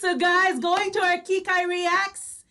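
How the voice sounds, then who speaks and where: A woman's voice: extremely high pitch, little pitch movement, takes it slowly, bright, forceful tone, a little hiss or hum. A woman hosting an online radio show, chatting casually and expressively in exclamations to her audience over a video call. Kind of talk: lively casual reaction chat.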